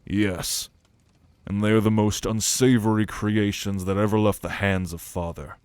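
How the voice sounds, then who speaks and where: A man acts out an ancient, fiery immortal from a fantasy audio drama. His voice is low and very unhurried, formal and solemn, with a haughty disdain for mortals. The sound is dry, with no room on it. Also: level tone, a little mellow, clean tone, dry recording, natural voice. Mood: grave, ominous. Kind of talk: fantasy audio roleplay acting.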